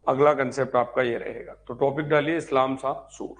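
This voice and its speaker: A man's voice: mid-range voice, steady tone, a little fast. A man giving an informal live history class as a teacher, instructive, repeating key points and checking in with students. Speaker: history teacher for exam preparation.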